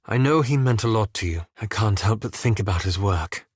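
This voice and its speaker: A man playing a courteous, reserved elven wizard in a fantasy game, with formal, articulate and measured delivery. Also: fairly low pitch, expressive, slightly rough, no echo, studio quality. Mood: serious, skeptical. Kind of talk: scripted fantasy game dialogue.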